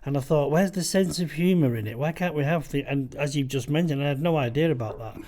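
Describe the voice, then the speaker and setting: A man hosting a podcast, chatting with curiosity, laughing easily and trailing off quietly at the ends of his sentences. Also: a little high, fast. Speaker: podcast host. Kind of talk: casual interview chat.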